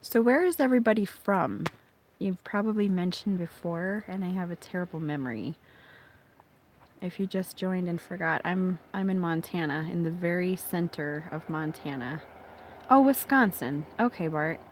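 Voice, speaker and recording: A woman with a slightly low, slightly raspy voice talks casually to viewers on a live stream from her phone outdoors. She sounds congested from allergies and keeps her voice hushed, often trailing into near whispers. She is originally from Minnesota. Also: moderate pace, a little hiss or hum. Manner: soft-spoken, often hushed, laughing to herself. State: congested from allergies after a chest cold. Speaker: livestream host. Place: outdoors during a thunderstorm. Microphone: phone held outdoors.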